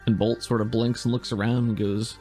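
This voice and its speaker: A man's voice: mid-range voice, little pitch movement, a little fast, dark tone, faint music behind. A man narrating as a laid-back, easygoing game master.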